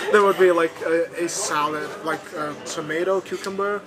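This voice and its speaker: A man from Bulgaria chatting casually and laughing easily. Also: fairly high pitch. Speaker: guest. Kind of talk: casual chat.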